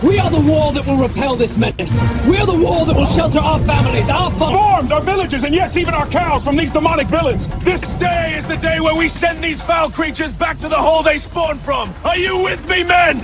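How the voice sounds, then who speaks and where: A man voicing a fervent, defiant war leader rallying troops with shouted oratory in a storybook. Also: extremely high pitch, a little fast, hardly any pauses, voice pushed up. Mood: rousing defiance. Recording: loud music over voice.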